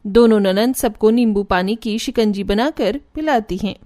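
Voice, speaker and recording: A woman's voice: mid-range voice, normal speed, warm tone. A woman narrating a scripted cartoon story as its storyteller.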